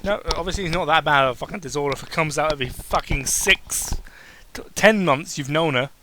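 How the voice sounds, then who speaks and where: A man's voice: a little high, fairly quick, sharp tone, slight background noise. A man giving a dramatic reading of fan fiction, breaking off for mocking, exasperated asides. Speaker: reader commenting on fan fiction.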